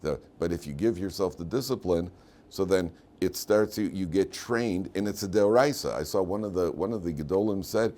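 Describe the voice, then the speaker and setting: An American man with a hoarse voice giving an informal Torah lesson as a rabbi, haltingly, often repeating words and restarting. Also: sits low, a little mellow. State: hoarse from medication.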